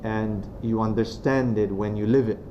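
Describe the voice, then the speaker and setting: Low-voiced man in a muffled, noisy recording. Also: fairly even intonation. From Romania. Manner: soft-spoken, unhurried, many pauses and fillers. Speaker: guest speaker. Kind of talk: religious talk to students. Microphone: venue microphone. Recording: dull lo-fi sound with clear background noise.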